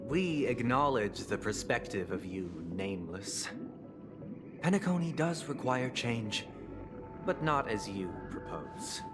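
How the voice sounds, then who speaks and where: An actor playing a composed, courteous male leader in a video game, speaking in measured, solemn oratory. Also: mid-range voice, big pitch swings, over music. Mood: firm, solemn. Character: composed, formal male leader of a noble family. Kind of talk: video game story voice acting, formal speech.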